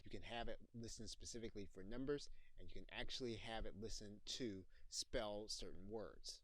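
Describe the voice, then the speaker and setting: Low-voiced man narrating a tutorial. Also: no echo, poor audio. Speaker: software tutorial instructor. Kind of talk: instructional screencast narration.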